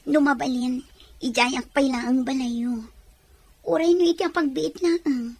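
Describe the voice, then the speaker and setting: Sad young runaway girl in a radio play, really high voice, plaintive. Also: fairly even intonation, measured pace, a little mellow, no room sound. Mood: pleading. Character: downcast young runaway daughter clinging to her dreams. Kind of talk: radio drama acting.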